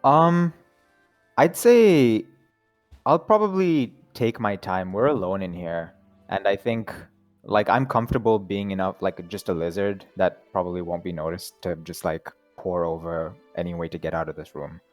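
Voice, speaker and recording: A man plays in a casual tabletop game, his voice sitting a little low. He talks in a laid-back, chatty way, full of "like" and easy laughter. Faint music runs in the background. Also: normal speed, darkish tone. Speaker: player.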